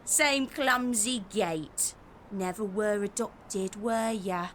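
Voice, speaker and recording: A woman's voice: medium pitch, takes it slowly, fairly bright tone, a little hiss or hum. A woman playing a nosy older charity collector in an audio drama, with a familiar, singsong way of calling out. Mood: prying, familiar. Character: nosy older female foundling hospital charity beggar.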